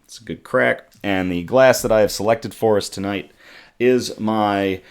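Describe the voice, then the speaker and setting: Low-voiced raspy man hosting a stream. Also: measured pace. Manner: chatty and loose, with many ums and uhs, reading comments aloud. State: raspy, worn-out voice. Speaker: host of a good-news livestream show. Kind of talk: casual livestream chat. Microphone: desktop USB microphone.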